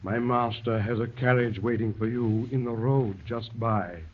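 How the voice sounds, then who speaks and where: Actor as a solemn servant, tinny old-radio sound. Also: male voice, mid-range voice, fairly even intonation, natural voice. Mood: solemn, courteous. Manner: quiet, measured, formal. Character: mysterious, solemn male messenger, a servant. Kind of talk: 1930s radio drama acting. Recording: tinny, narrow-band 1930s radio recording.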